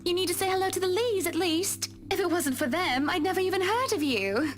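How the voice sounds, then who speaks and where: An actress playing an effusive, insistent high-society hostess in a game, speaking politely. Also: female voice, fairly quick. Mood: gracious insistence. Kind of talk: visual novel game voice acting.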